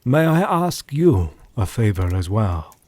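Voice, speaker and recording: A man playing a grave, courteous scholarly doctor in an audio drama, speaking formally with measured politeness. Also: on the low side, sing-song, darkish tone, dry recording. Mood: grave, earnest.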